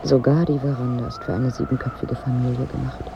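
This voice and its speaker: An actress in a radio drama playing a wry, frustrated wife who needles and broods aloud. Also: female voice, a bass voice, little pitch movement, fairly quick, vocal fry, dull audio, background noise. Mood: wry grumbling. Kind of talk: radio drama dialogue and monologue.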